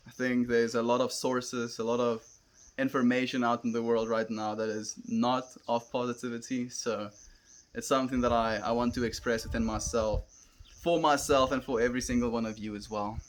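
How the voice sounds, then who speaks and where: A young South African man chatting on a live stream outdoors, earnest and gentle, calling his viewers friend. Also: medium pitch. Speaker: livestreamer. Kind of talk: casual live stream chat reading comments. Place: outdoors, wind and cows nearby.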